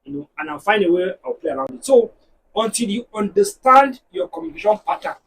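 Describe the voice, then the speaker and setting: A man with a Nigerian accent, a married guest on a discussion show, talking in an animated, preachy way and telling stories to make his points. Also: sits high, a little fast, distant mic, a little hiss or hum, rough recording. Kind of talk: casual panel discussion.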